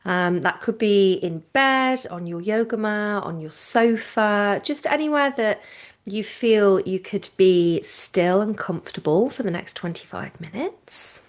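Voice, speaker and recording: A woman teaching yoga nidra, giving informal guided relaxation instructions in a gentle, reassuring manner with unhurried phrasing and pauses. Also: medium pitch.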